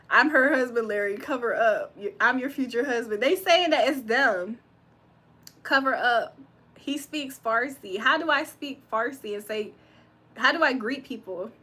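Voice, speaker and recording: A woman's voice: fairly high pitch, unhurried, bright, forceful tone, slightly rough. A young woman from Virginia hosting a livestream, reading comments aloud and reacting with frequent laughs. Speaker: livestream host reading chat. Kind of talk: casual live chat.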